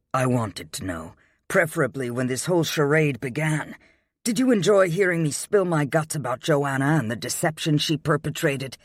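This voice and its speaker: A man's voice: fairly high pitch, slightly rough, dry recording, studio quality. A man voicing a cold, furious husband who sneers with icy contempt.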